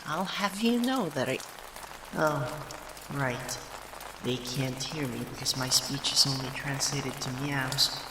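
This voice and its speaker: A man's voice: medium pitch, takes it slowly, heavy background noise. A man playing a proud, easily indignant young forest deity cursed into cat form, in a theatrical ASMR roleplay addressed to the listener. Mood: excited, outraged. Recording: rain sounds over the voice. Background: rain ambience.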